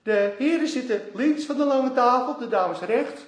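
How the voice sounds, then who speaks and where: Radio drama: fussy, florid master of ceremonies, extremely high voice, announcing formally in an echoing room. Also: male voice, lively intonation, moderate pace. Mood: ceremonious.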